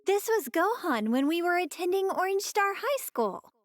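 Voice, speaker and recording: Spirited young woman in anime game; high, girlish, expressive, dry studio sound. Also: normal speed, dry recording. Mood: reminiscing. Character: spirited, admiring young woman, a fighter's daughter. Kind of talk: animated game character dialogue.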